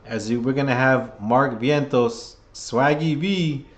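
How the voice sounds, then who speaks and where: A man giving casual, chatty play-by-play for a live chat, seated at a table at home, sounding under the weather with a voice getting hoarse. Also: mid-range voice, slow pace. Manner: chatty, hyped, keeps rallying the chat. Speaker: fan livestream commentator.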